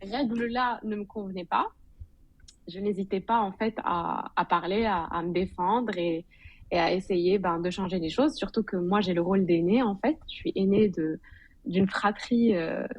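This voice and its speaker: A woman's voice: medium pitch, normal speed, darkish tone, dry recording, muffled recording. A woman from Morocco speaking as a podcast guest, telling her story in an informal, conversational way with bits of English slang. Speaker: podcast guest, digital consultant and blogger.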